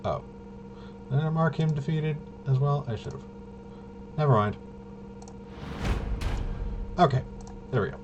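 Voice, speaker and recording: A man's voice: on the high side, expressive, a little slow, pauses often, highs cut off, background noise. A man giving casual play-by-play of a tabletop roleplaying game, muttering and thinking aloud as he goes. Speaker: solo tabletop game player and host.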